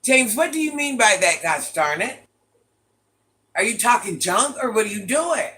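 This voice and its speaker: An older woman with a very deep voice, hosting a casual livestream, reading viewer comments and playfully trash-talking. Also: big pitch swings, moderate pace, pushed and bright. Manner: playful trash talk, sassy asides. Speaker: livestream host.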